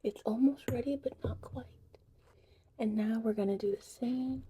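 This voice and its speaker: Dark, breathy woman whispering softly. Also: fairly high pitch, normal speed. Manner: hushed, breathy, drifting in and out of whispers. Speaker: ASMR creator and host. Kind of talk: soft-spoken, whispered ASMR tutorial roleplay.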